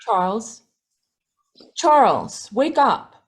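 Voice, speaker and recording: A woman acts a timid, gentle wife in an old radio play. The voice sits fairly high and moves slowly, with lively rises and falls and a pleading edge. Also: breathy edge, exaggerated voice. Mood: worried pleading. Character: anxious, gentle older wife of a stern man. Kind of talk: old-time radio drama acting. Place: live video call performance. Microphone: video call microphone.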